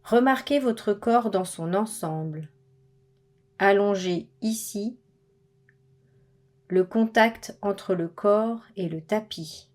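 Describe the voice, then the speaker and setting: A woman guiding a body scan meditation with measured, scripted instructions and pauses between phrases. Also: mid-range voice, darkish tone. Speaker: meditation guide.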